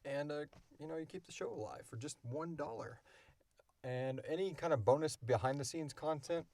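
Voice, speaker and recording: A man's voice: fairly high pitch, moderate pace, a little mellow, no room sound. A man hosting a podcast, answering questions in a casual, informal, rambling way. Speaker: podcast host and audio drama creator.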